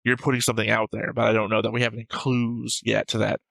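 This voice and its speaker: A man's voice: lowish voice, flat delivery, quick pace, gravelly, fairly bright tone, no echo. A man who hosts a reaction show, talking casually and analytically, explaining and arguing his points. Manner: argumentative, explanatory. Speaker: host of an anime reaction show.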